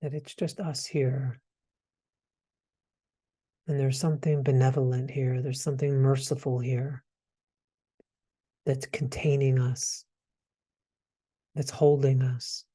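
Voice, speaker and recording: A man leading a guided meditation, speaking gently in hesitant phrases with soft pauses. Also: medium pitch, level tone, slightly rough.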